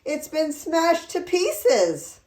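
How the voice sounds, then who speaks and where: A small, whiny storybook child, acted out by a woman. The voice is pitched up and drawn out, plaintive and upset. It sounds in an echoey room. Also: sing-song, extremely slow, darkish tone, full character voice. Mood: wailing, upset. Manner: wailing, plaintive. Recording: roomy echo.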